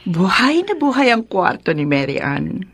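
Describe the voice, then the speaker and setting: Radio drama mother, middle-aged Filipina: husky, expressive, anxious and remorseful. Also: medium pitch, normal speed. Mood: admiring, fussing.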